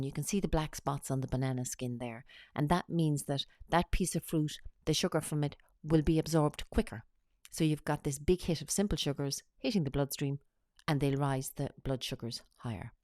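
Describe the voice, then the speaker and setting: A woman talks through a slide presentation. She has a cold and explains each point in a steady, teacherly way. The sound is close and dry, with no room around it. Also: low voice, normal speed, no room sound. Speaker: weight-loss coach.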